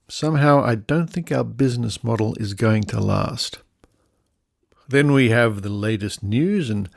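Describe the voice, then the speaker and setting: A man's voice: medium pitch, darkish tone, no echo. An Australian man introduces a podcast in a chatty, playful way. His delivery has a theatrical touch, and the sound is very dry and close. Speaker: podcast host.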